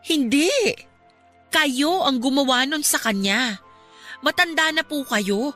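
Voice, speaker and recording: A caring, outspoken young woman in a radio drama. Her voice sits a little high with a clear, penetrating edge, and she speaks earnestly. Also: takes it slowly, sharp tone, faint music behind, heavily acted. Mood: indignant. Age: young adult. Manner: earnest, emphatic, polite yet confrontational.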